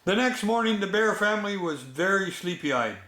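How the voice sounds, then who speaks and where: A man telling a bedtime story in an unhurried, expressive way, with chatty asides to young listeners. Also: high-pitched. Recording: echoey room.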